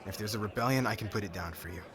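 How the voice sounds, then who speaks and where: A man playing a brash, lovelorn young warrior groom in an ASMR audio drama, halting and informal, sounding exasperated. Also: on the low side, a crowd in the background, lightly acted. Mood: dejected, pleading.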